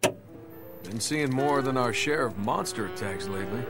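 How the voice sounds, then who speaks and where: A man's voice: mid-range voice, lively intonation, a little slow. A man playing a cocky, wisecracking young guard in a video game cutscene, with a teasing, sardonic manner. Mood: dry, casual. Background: music.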